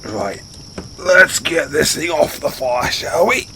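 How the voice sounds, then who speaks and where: A man's voice: a little high, lively intonation, bright, forceful tone, a little hiss or hum, exaggerated voice. A man playing a big, brash orc barbarian in a fantasy audio roleplay, speaking colloquially straight to the listener. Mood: hearty, relishing. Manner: colloquial, halting when defensive. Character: big, brash male orc barbarian, rambunctious but sheepish. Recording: nature ambience with crickets behind. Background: outdoor night ambience, crickets.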